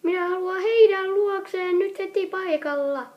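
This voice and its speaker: A woman voicing an excitable, lovestruck teenage girl in a hobby anime comedy dub, stammering and melodramatic. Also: very high-pitched, highs cut off, voice pushed up. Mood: dreamy, yearning.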